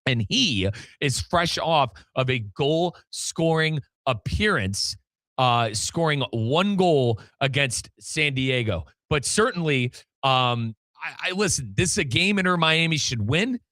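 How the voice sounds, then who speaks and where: A bright, punchy American man hosts a soccer talk show. His voice sits a little high and sounds very dry. He speaks emphatically and colloquially, leaning on repetition. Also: animated, slow, dry recording. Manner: emphatic, repeats phrases for effect, colloquial. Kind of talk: sports talk commentary and interview.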